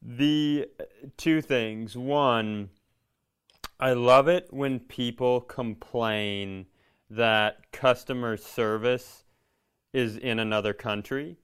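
A man in casual comic banter as a podcast co-host, opinionated and quick to swear. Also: mid-range voice, steady tone, clear, resonant tone. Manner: opinionated, profane. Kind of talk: casual comedic banter and ranting.

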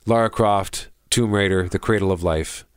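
A man's voice: sits low, moderate pace, clean tone, no room sound. A man co-hosting a radio show in casual movie chat on a close studio mic. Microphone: close studio microphone.

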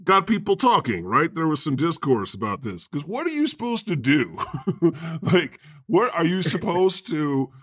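A man's voice: a little high, sing-song, normal speed, a bit bright, slightly rough, dry recording, studio quality. A man co-hosting a podcast with casual, irreverent, sweary comedic banter about the news. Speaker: podcast co-host.

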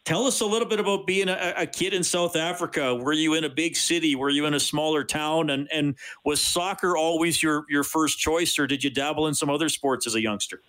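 A man's voice: a little high, brisk, hardly any pauses, a bit bright, low-quality recording. Chatty male sports radio host. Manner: friendly, chatty, hesitant restarts.

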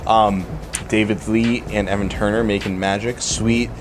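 Low-voiced man hosting over clear background noise. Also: flat delivery, normal speed. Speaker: sports radio and podcast host. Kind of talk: casual basketball post-game discussion. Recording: clearly noisy urban background ambience.

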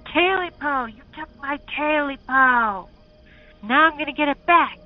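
A woman voicing a small vengeful clawed creature with slow, drawn-out hissing wails. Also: high-pitched, muffled recording, faint music behind, voice pushed up. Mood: wailing, demanding.

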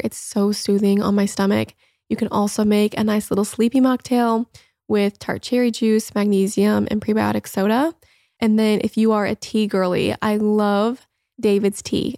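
A woman's voice: medium pitch, creaky, darkish tone, dry recording, studio quality. A young woman hosting a solo wellness podcast, chatty and upbeat as she walks through recipes and habits, a little congested. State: slightly congested. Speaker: podcast host.

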